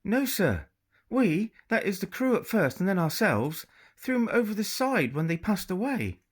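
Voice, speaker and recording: A woman's voice: big pitch swings, moderate pace, breathy edge. A man voicing a young woman feigning polite, upper-class English manners with little sobs. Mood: demure. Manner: polite, feigned innocence, high and sobbing.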